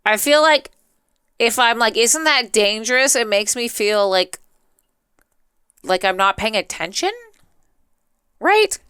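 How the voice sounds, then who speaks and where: A woman chatting casually as a live streamer, talkative and rambling, with an expressive, playfully dramatic way of talking. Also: on the high side, slow, long pauses, clear, resonant tone, no room sound, clean studio sound. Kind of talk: casual chatty livestream commentary.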